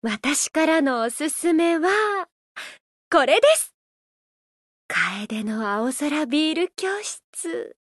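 A woman voicing a gentle, playful idol who loves a drink, speaking politely and teasingly in a mobile game. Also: high voice, sing-song, no echo, clean studio sound, heavily acted. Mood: cheerful, playful. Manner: polite, unhurried, teasing, softly flirtatious. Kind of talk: Japanese mobile game character voice lines.

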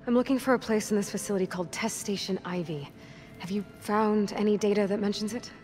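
A woman's voice: mid-range voice, fairly even intonation, fast, a little mellow, lightly acted. An actress playing a practical, guarded young woman adventurer in a video game, plain and direct. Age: young adult. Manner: plain, direct, matter-of-fact. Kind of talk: video game dramatic acting.

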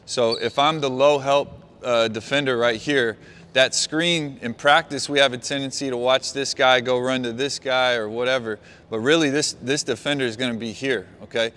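Bright-voiced man coaching in a gym. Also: mid-range voice, fairly even intonation, normal speed, clear, resonant tone. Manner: talks players through a walk-through, calls out praise. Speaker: basketball coach. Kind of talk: on-court coaching demonstration. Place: large indoor gym.

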